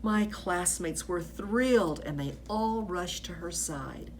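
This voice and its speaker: Female storybook reader, teacherly and expressive, unhurried, slightly low pitch, noticeable background noise. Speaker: teacher reading a children's book aloud.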